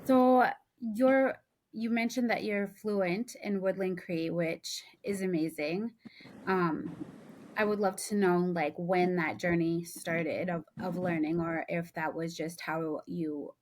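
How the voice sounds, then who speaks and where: Woman host with a lowish voice. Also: fairly even intonation, takes it slowly, a little mellow, a little hiss or hum. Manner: long, searching questions with ums. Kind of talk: interview questions.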